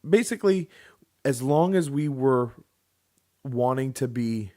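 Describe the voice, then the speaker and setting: Male podcast co-host in his thirties, mid-pitched, rambling casual storyteller. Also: animated, measured pace, warm tone. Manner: rambling with restarts, joking asides.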